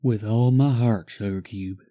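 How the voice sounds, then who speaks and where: A man voicing a gentle young male pony husband in a fan-made audio drama, soft, earnest and comforting. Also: lowish voice, measured pace, highs cut off, voice pushed up, close to an everyday voice. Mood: devoted, hopeful. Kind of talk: amateur fan-fiction audio drama acting.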